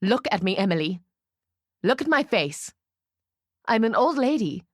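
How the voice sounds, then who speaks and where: A woman voicing a weary, exasperated old woman with a gruff edge, in a dramatic story reading. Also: medium pitch, very animated, a little slow, dry recording, clean studio sound. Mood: pleading, insistent.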